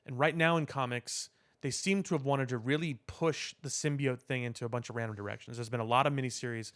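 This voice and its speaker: A man's voice: mid-range voice, steady tone, fast, fairly bright tone. A man co-hosting a casual pop culture podcast, explaining his points in long, rambling sentences. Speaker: podcast co-host.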